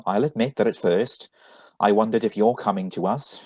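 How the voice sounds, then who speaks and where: A man's voice: on the low side, moderate pace, a little mellow, no room sound, natural voice. An actor playing an earnest young man in love in a live radio drama reading. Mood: earnest, nervous.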